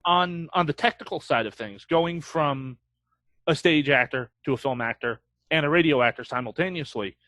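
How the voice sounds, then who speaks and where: A man's voice: on the high side, normal speed, bright, forceful tone, no room sound. A man on an interview panel, casually putting together a question.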